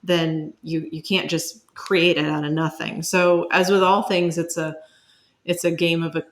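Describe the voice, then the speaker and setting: A woman with a lowish voice talks as an interview guest. She explains in a thoughtful, conversational way, like an expert answering questions. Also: flat delivery, unhurried.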